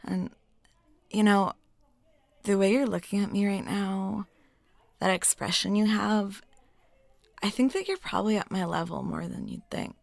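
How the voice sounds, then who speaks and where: A woman playing a flirty, lonely, talkative waitress in an intimate roleplay, chatting softly and casually and sometimes dropping near a whisper. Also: medium pitch, measured pace, gravelly, pauses often, dry recording. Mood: wistful, earnest. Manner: soft, rambling, giggly, drops into near-whispers. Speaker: audio roleplay performer.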